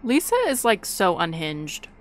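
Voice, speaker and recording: A woman's voice: lowish voice, big pitch swings, a little slow, soft background music. A young woman hosting a gaming video, chatting casually over gameplay.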